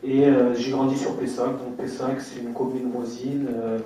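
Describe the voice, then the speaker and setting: A man's voice: mid-range voice, little pitch movement, moderate pace, mellow, a little hiss or hum. A man in his twenties from France, speaking earnestly at a public debate, hesitant and full of fillers and restarts. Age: 20s. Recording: echoey hall, distant mic, dull sound.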